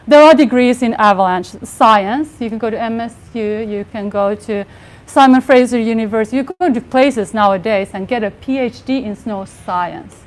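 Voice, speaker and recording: Woman, 40s, soft voice, slightly high, earnest workshop presenter. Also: moderate pace. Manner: earnest, many fillers and restarts. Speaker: workshop speaker. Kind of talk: conference talk. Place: live workshop room with audience.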